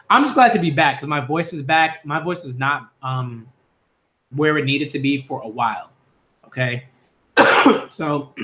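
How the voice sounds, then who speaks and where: A man's voice: mid-range voice, bright, forceful tone. A man hosting a manga reaction video, chatting casually with lots of fillers and self-corrections. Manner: stumbles when reading names aloud. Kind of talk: casual live reaction chat.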